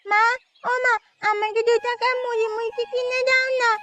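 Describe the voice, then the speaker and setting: A woman's voice: extremely high pitch, level tone, normal speed, pushed and bright, no echo. A woman voicing an eager, pleading little village girl with a childlike, whining manner in a cartoon. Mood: curious, pleading. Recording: music under the voice.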